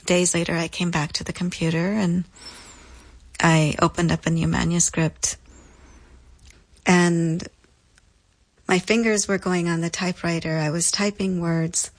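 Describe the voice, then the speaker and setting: A woman speaks as an interview guest, telling her own story in a slightly low voice. Her delivery is reflective and deliberate, leaning on repetition for emphasis. The sound is close and dry. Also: level tone, dry recording, rough recording.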